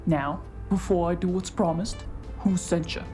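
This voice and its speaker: A woman's voice: lowish voice, fairly even intonation, moderate pace, warm tone, lightly acted. An actress playing a tough, suspicious woman holding a shotgun, interrogating with menace, with music behind her. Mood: menacing. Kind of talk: audio drama acting.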